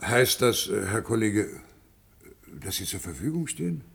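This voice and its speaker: A man's voice: lowish voice, big pitch swings, normal speed, gravelly, husky, full character voice. An actor playing a hesitant, formal committee chairman in a radio play, officious and stammering. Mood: tentative. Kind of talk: German radio play acting.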